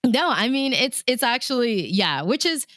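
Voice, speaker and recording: A woman's voice: on the high side, very animated, moderate pace, fairly bright tone, dry recording, clean studio sound. A woman chatting casually and telling stories in the manner of a radio DJ, animatedly acting out her own thoughts as she goes. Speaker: radio DJ and host, interview guest. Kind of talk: casual conversational storytelling.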